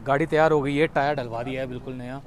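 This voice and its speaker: A man's voice: mid-range voice, steady tone, fast. A young man vlogging casually outdoors on a clip-on mic, chatty and mixing English words into his talk. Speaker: travel vlogger. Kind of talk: casual vlog chat while walking. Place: outdoors in a busy town market.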